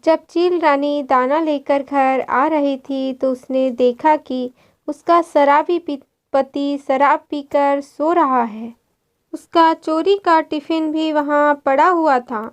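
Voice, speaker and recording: A woman narrator reads a scripted children's story. Her voice is high and girlish, and her delivery is markedly slow.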